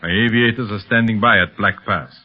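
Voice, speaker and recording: An actor playing a smug, cold criminal mastermind with imperious, formal speech in a 1930s radio serial. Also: male voice, on the low side, a little fast, close to an everyday voice. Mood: scheming, assured. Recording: muffled, lo-fi 1930s radio recording.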